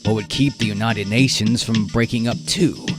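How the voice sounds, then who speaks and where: A man narrating a live radio drama in a theatrical manner, with measured pauses and a musical score behind him. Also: lowish voice, fairly even intonation, music in the background.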